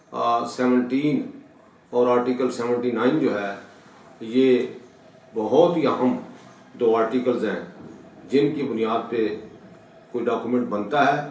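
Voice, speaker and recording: A middle-aged man gives a formal, deliberate law lecture with halting, repetitive phrasing. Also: mid-range voice, darkish tone. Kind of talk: lecture for an online channel. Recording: very echoey room, distant microphone.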